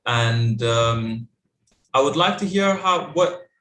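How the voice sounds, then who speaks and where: A man's voice: medium pitch, lively intonation, slow pace, fairly bright tone. Young guy hosting a panel, lots of ums and you knows, roomy echo. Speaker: panel moderator. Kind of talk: hesitant casual moderating. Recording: echoey room.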